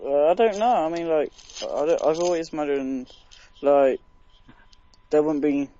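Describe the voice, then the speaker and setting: A man acting as a naive teenage lad phones in with hesitant, confused questions. His voice sits a bit high and the phone audio is slightly dull. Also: measured pace, mellow, natural voice. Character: naive, confused underage teenage boy caller. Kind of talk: prank phone call.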